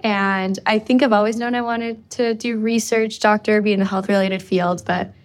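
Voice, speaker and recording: A woman's voice: mid-range voice, steady tone, moderate pace. Chatty young woman from Costa Rica. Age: young adult. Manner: chatty, lots of likes and ums. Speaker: undergraduate student guest. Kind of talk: casual interview.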